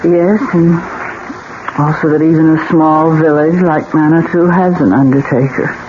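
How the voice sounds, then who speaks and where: Troubled wife, noisy recording. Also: female voice, deep voice, steady tone, a little slow. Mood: resigned, worried. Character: troubled wife whose ski resort investment is failing. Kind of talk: 1970s American radio drama acting. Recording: noisy, muffled 1970s radio broadcast recording.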